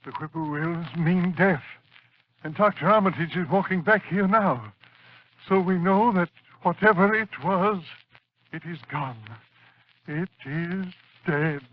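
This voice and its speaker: An actor playing a horrified scholarly eyewitness in a 1940s radio horror drama, formal and dramatic, halting with dread. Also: male voice, sits high. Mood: shaken. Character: horrified scholarly male eyewitness reporting on a monster. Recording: muffled, noisy 1940s radio recording.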